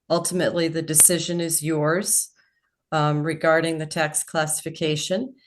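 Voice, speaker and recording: A woman chairing a town assessors board, speaking at a public meeting in a friendly, chatty way with a self-deprecating touch. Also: fairly low pitch.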